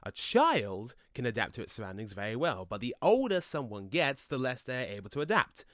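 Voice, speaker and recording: A man playing a laid-back but quick-tempered, cocky ghost in an audio drama, with a brash, slangy, matey way of talking. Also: mid-range voice, very animated, a bit bright, no echo, clean studio sound. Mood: relaxed, reflective. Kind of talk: conversational audio drama acting.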